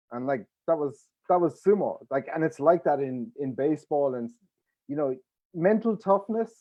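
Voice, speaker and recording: A former sumo wrestler telling stories on a panel, emphatic and animated. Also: male voice, medium pitch, lo-fi sound. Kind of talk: panel discussion.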